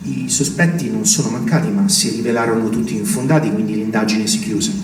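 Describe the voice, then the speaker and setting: A man playing an earnest small-town separatist politician in a stage drama, answering an interview in measured, rhetorical phrases. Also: lowish voice, close to an everyday voice. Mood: guarded. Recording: roomy, distant, clearly noisy stage recording.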